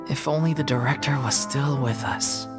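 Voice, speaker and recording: An actress voicing a gloomy, worried member of a theatre troupe in a video game, slow and downcast. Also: female voice, very deep voice. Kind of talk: video game cutscene voice acting.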